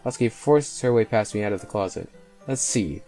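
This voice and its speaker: A man's voice: on the low side, fairly quick, mellow. A man reading visual novel narration aloud as host of a gameplay video. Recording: voice over faint game music. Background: faint music.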